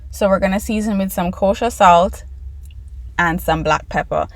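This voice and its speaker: A woman's voice: mid-range voice, normal speed, no room sound. A woman with a Jamaican accent hosting a casual cooking tutorial in her home kitchen, chatting and explaining each step to her viewers. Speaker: cooking channel host.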